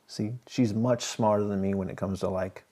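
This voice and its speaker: A man's voice: fairly low pitch, fairly even intonation, normal speed, warm tone. A man hosting a casual live stream, thinking aloud as he works and chatting easily with viewers. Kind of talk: casual live stream chat while working.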